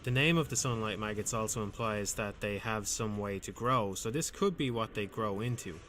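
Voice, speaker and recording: A man reading scripted game commentary in a calm, measured, dryly wry way with a soothing voice. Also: lowish voice, a little hiss or hum.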